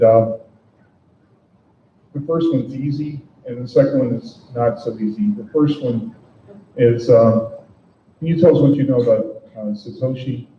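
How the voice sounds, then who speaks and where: An older man from the audience asks questions, pausing often and laying out his background before he gets to his point. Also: medium pitch. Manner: hesitant, explains his background at length. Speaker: audience member asking questions. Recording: roomy, distant, dull lo-fi sound with faint noise.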